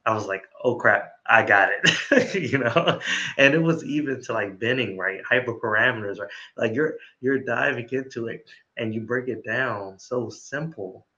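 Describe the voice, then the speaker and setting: A man's voice: medium pitch, moderate pace, pushed and bright, highs cut off. A man hosting an interview, talking with enthusiasm and tagging "right?" onto his sentences. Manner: enthusiastic, keeps adding "right?". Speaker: interview host. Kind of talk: casual interview chat.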